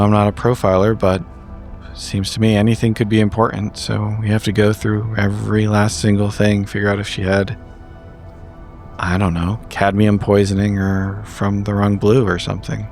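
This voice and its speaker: Guy doing a cautious analyst agent at a tabletop game, kinda low, deliberate, soft music behind. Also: lightly acted. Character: cautious, analytical intelligence agent, not a field person. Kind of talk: improvised tabletop roleplay dialogue.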